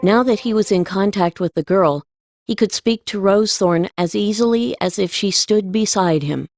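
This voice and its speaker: A woman's voice: mid-range voice, moderate pace, darkish tone, no echo, studio quality, soft background music. A woman reading scripted storybook narration as an audiobook narrator.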